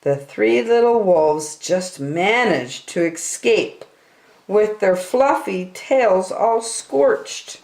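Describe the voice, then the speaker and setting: A woman in her sixties reading a children's picture book aloud with emphatic, expressive storytelling. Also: medium pitch, drawn-out words, vocal fry. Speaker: story time reader. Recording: roomy home recording.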